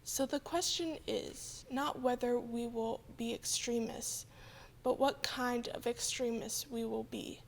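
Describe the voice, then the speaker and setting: A woman's voice: fairly high pitch, fairly even intonation, slow pace, creaky, a little hiss or hum. A woman, a church member, reading quotations aloud in a solemn, scripted way.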